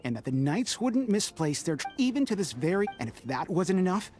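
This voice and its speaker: Annoyed blunt townswoman, lowish voice, very animated, anime game style. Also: brisk. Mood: indignant. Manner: blunt, exasperated. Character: fed-up, indignant townswoman confronting a noble. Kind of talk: anime video game voice acting.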